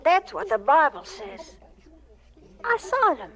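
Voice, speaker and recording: A woman's voice: sits high, sing-song, slow, fairly bright tone, full character voice. A woman playing an earnest, shy, polite young schoolboy in a radio drama. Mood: dreamy.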